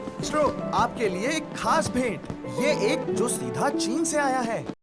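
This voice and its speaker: A young boy hero with a high, earnest voice. He sounds polite and resourceful in children's cartoon style, with loud music behind him. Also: sing-song, measured pace. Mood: polite, confident. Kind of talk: Hindi-dubbed children's cartoon acting.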